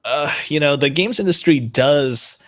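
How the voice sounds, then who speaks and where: A man co-hosting a podcast, speaking in a measured, reflective way with a dry edge. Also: fairly high pitch, bright, forceful tone. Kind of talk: casual podcast chat.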